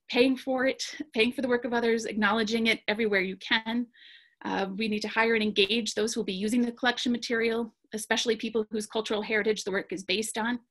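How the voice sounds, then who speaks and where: A woman's voice: mid-range voice, gravelly, a bit bright. A woman presenting her slides to an online conference panel. She speaks earnestly, with frequent fillers and restarts.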